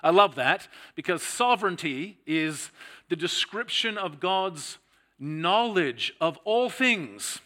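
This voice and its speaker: A man's voice: high voice, animated, takes it slowly, pushed and bright. A middle-aged man with a Canadian accent, preaching a sermon as a guest pastor in a conversational, self-deprecating way.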